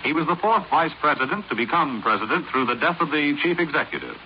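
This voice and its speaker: An American man, a radio announcer, reading scripted 1950s quiz narration in a deliberate, presentational way. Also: a little high, expressive. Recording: muffled, hissy 1950s radio recording.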